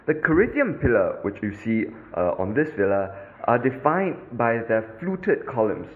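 A man's voice: mid-range voice, moderate pace, phone-quality sound. A man with a Singaporean English accent, narrating a scripted audio tour as a podcast host, in an instructive tone with the odd filler. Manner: instructive, lively, with occasional fillers.

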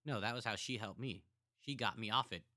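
A man voicing a young jailed killer who talks to his family with bitter contempt and builds to heated shouting. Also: lowish voice, a little fast, no room sound, studio quality, voice pushed up. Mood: defiant.

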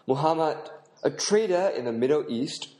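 A man's voice: fairly high pitch, lively intonation, moderate pace, dark tone. A man with a Singaporean accent, hosting a podcast and narrating an audio tour with earnest instruction. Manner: earnest, instructive, with occasional uh fillers.

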